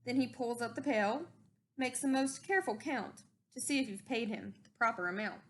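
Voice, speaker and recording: Female storybook reader, high voice, expressive delivery of rhyming verse for children. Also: moderate pace.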